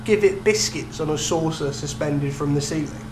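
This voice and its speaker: A man's voice: fairly high pitch, moderate pace. A young man co-hosting a podcast, chatting casually about video games. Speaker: podcast co-host. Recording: roomy echo, steady background noise and hum.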